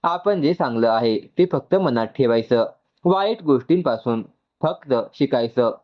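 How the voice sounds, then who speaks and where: A man's voice: medium pitch, lively intonation, measured pace, dull audio, natural voice. A man voicing a subdued young woman consoling her parent in an audio storybook.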